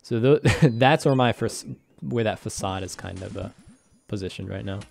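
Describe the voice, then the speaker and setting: Slightly hoarse man casually commenting on a game. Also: lowish voice, normal speed, a little hiss or hum. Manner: rambling, self-deprecating, laughs often. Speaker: gaming commentator.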